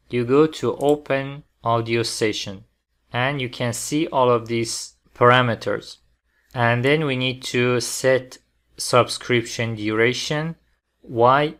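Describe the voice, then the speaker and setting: Slow-paced man narrating a software tutorial. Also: mid-range voice, clear, resonant tone. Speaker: programming tutorial presenter.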